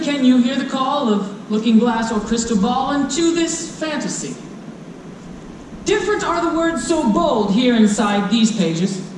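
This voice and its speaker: A storyteller reading rhyming children's fantasy to a live audience in a dramatic, playful way, speaking directly to listeners. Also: sits high. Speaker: storyteller presenting a children's book. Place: convention stage before a live audience. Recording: echoey live hall, distant mic, faint noise.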